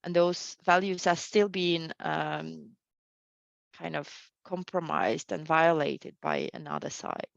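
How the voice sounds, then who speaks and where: A woman's voice: low-pitched, lively intonation, slow pace, vocal fry, no echo, highs cut off. A middle-aged woman, a guest historian, answering interview questions with many fillers and restarts.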